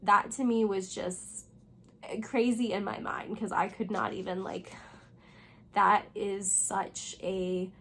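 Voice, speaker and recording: A young woman with a hoarse voice, still recovering from losing it, chatting casually about books in a vlog and rambling with lots of fillers. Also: medium pitch, little pitch movement, slow, a bit bright. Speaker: booktuber. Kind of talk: casual reading vlog chat.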